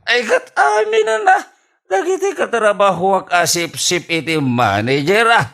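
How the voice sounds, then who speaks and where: Man acting a cocky Ilocano husband, wildly swooping high voice. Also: very animated, a little slow, creaky, fairly bright tone, exaggerated voice. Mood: gloating. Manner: cocky, exaggerated, swooping delivery with mocking laughs. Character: boastful, childish, stubborn husband and office worker. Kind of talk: Ilocano radio comedy drama acting.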